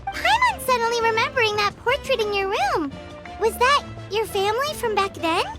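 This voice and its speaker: A woman voicing a tiny, childlike fairy companion in a video game, squeaky, earnest and inquisitive. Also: sing-song, normal speed. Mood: earnest, curious. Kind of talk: cartoonish video game acting. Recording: loud music under the voice.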